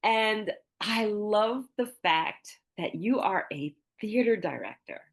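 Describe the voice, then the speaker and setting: A woman's voice: medium pitch, lively intonation, takes it slowly. A woman hosting a podcast, moving between a prepared introduction and conversational interviewing. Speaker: podcast host.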